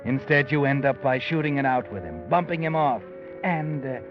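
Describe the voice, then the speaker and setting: An actor playing a sardonic, ominous narrator who taunts in second person, in 1940s radio suspense style. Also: male voice, medium pitch, animated, normal speed, natural voice. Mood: taunting. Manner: knowing, taunting. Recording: muffled old radio broadcast. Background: loud dramatic music.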